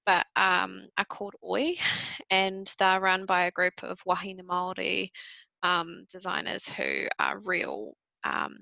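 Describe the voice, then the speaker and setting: New Zealand woman, panelist. Heavy vocal fry, bright, dry close sound, thoughtful and conversational. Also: medium pitch, expressive, slow, dry recording, highs cut off. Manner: thoughtful, frequent ums, light laughs.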